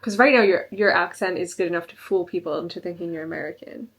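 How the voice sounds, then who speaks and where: A woman co-hosting a podcast in casual chat, asking questions and reacting with quick laughs. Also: lowish voice, fairly bright tone. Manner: responsive listener, frequent laughs and short backchannels. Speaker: podcast co-host.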